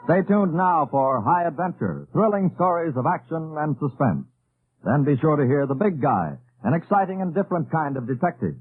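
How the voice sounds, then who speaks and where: A man's voice: a little high, very animated, moderate pace, breathy edge, narrow, tinny audio. A man making scripted promotional announcements as a 1950s network radio announcer. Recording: thin old radio broadcast.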